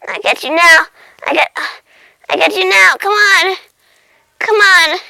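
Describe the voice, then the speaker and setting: A woman's voice: high-pitched, animated, measured pace, gravelly, sharp tone, no room sound, full character voice. A woman voicing a childish, moody little house cat, whining and repeating itself as it narrates its thoughts in a comic pet voice-over. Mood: frantic, excited. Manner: whiny, repetitive, babyish self-narration with meows.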